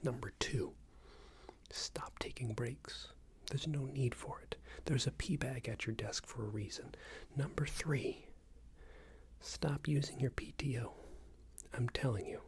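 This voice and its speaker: A man's voice: medium pitch, measured pace. Whispering man as a condescending boss. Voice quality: soft, breathy whispering and murmuring. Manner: hesitant, repetitive, patronising workplace talk. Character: passive-aggressive, condescending male company boss. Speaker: ASMR roleplay performer. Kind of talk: ASMR roleplay, improvised monologue.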